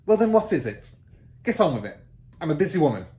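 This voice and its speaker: A man reads the part of a fierce middle-aged headmistress from a children's storybook. She barks her words loudly and scornfully, bullying whoever listens, with big swoops in pitch. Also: very animated, fast talker. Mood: impatient, brusque. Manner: barking, shouting, snorting and scornful. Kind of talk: children's storybook character reading.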